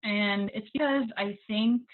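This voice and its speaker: A young American woman talks casually with a class over a video call, full of ums and likes. Her voice sits at an ordinary pitch for a woman. The sound is thin and tinny, as if through a phone. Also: a little slow, a little hiss or hum. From United States. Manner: chatty, with many ums and likes. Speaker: guest English teaching assistant. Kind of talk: casual conversation with students.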